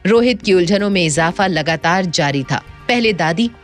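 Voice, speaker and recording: A woman's voice: on the low side, animated, normal speed. Woman narrating a dramatic story. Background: background music.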